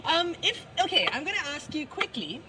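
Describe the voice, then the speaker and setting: A woman's voice: high voice, big pitch swings, brisk, over a noisy crowd. A woman conducting a casual phone interview in an informal, bantering way. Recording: very noisy phone interview recording.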